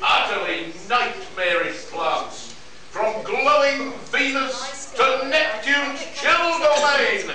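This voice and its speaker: A man acts out a jaded young space adventurer in a staged science fiction radio play. He grumbles and declaims slowly in a very high register. The sound is distant and heavily echoed, with some audience murmur behind. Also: expressive, a crowd in the background, full character voice. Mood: weary, grumbling. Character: weary, cynical young male botanist-adventurer in space. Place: live performance in a convention room.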